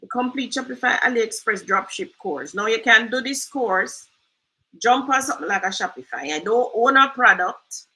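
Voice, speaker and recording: A woman's voice: a little high, lively intonation, normal speed, bright, forceful tone, low-quality recording. A Jamaican woman hosting a casual screen-share tutorial, chatty and loose, often asking "right?". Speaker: host.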